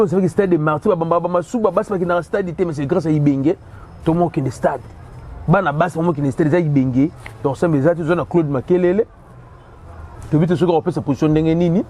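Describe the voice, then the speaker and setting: A man from DR Congo talks football in a casual monologue, animated and given to repetition. His voice sits a little high, with a mellow, warm tone. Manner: animated, code-switching between Lingala and French, frequent repetitions. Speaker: sports commentator host.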